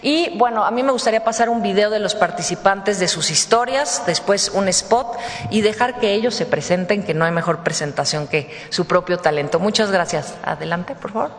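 A Mexican woman presents at an official briefing in a big echoing hall. Her pitch is average and her delivery is halting, like someone working through slides. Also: slight background noise. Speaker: presenter at a government press conference. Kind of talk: semi-scripted public presentation. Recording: echoey hall with long reverb.

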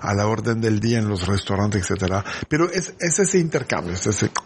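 A man's voice: fairly low pitch, brisk, a little hiss or hum. A man from the south of France, a chef whose first language is French, chatting in an interview and often asking if he is understood. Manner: animated, keeps checking understanding, many restarts. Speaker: guest chef. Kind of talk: casual interview talk.

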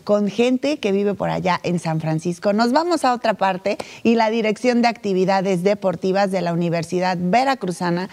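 A woman's voice: medium pitch, normal speed, hardly any pauses, a little mellow. A woman presenting sports news in a studio, with chatty asides. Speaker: news anchor.

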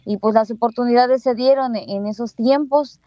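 A woman's voice: a little high, normal speed, dry recording, highs cut off. A Mexican woman schoolteacher in her thirties with a Mexican Spanish accent, telling her story in an interview with many fillers and restarts. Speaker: bilingual schoolteacher.

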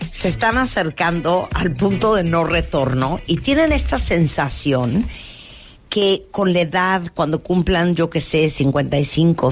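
Lively woman radio host. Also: fairly low pitch. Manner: chatty, teasing questions to listeners. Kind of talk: casual radio chat.

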